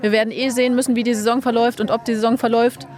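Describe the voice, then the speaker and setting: A woman's voice: a little high, flat delivery, racing, a little mellow. A young woman, a bobsleigh pilot, answering interview questions frankly and casually, with many fillers. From Germany.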